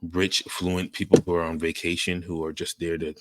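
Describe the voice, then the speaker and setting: A man's voice: sits low, level tone, fairly quick, fairly bright tone. A young man co-hosting a podcast, talking in laid-back, profane banter. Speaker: podcast co-host. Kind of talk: casual chat.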